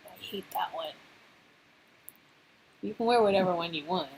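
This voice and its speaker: A woman's voice: on the low side, normal speed, darkish tone, a little hiss or hum, low-quality recording. A woman chatting casually and playfully as she reacts to a story game she is playing with a co-host. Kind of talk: casual banter during a game playthrough.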